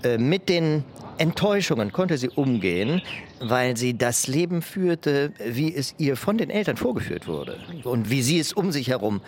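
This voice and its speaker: An actor playing a pompous, patronising psychiatry professor who lectures clinically and searches for words in a documentary radio play. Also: male voice, mid-range voice, sing-song, normal speed, a little hiss or hum. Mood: detached, lecturing.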